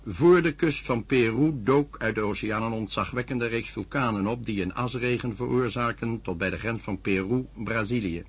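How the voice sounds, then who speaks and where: A man's voice: mid-range voice, normal speed, few pauses, husky. An actor playing a male newsreader who soberly reads a disaster bulletin in a radio drama. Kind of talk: news bulletin reading.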